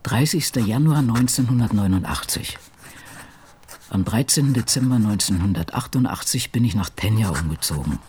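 Alte, eigenbrötlerische Schriftstellerin, lebhafte Sprachmelodie. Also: female voice, extremely low pitch, darkish tone, a little hiss or hum. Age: older. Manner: musing, talking to herself and her cats. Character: old female crime novelist, solitary, muttering to her cats. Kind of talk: radio play monologue and diary reading.

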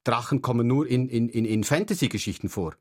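A man's voice: medium pitch, a little fast, husky, lightly acted. A man voicing a dreamy young schoolgirl who loves fairy stories, reading in a slightly raised, pleading voice.

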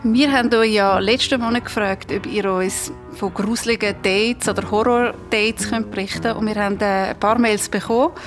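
A woman co-hosts a podcast in casual conversation. Her pitch sits in the middle range. Faint music plays underneath. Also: steady tone, normal speed, hardly any pauses. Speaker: podcast co-host.

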